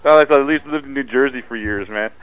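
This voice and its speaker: A man with a South Philadelphia accent calls in to chat. He speaks quickly and casually, and his voice comes through a narrow, muffled phone connection. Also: mid-range voice, crowd noise. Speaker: phone-in caller. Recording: muffled, band-limited phone line.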